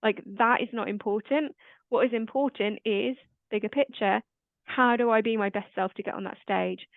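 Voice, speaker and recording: A woman hosting a casual chat in a naturally quiet, calming voice. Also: on the high side, moderate pace, creaky, dry recording. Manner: quiet, calm voice. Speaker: host. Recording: dry, tinny phone-like line.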